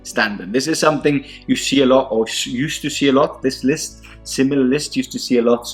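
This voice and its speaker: A man's voice: medium pitch, a little fast, faint music behind. A man whose first language is Spanish, hosting a tabletop wargame show with casual, unscripted rundowns. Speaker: host of a tabletop wargame battle report show.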